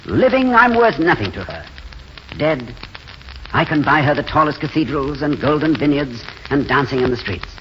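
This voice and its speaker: An actor playing a courteous, wistful, idealistic drifter in a 1940s radio drama, speaking in an eloquent, genteel way. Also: male voice, on the high side, sing-song, moderate pace, fairly bright tone. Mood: wistful, intense. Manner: eloquent, genteel, hesitant openings. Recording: dull, faintly noisy 1940s radio recording.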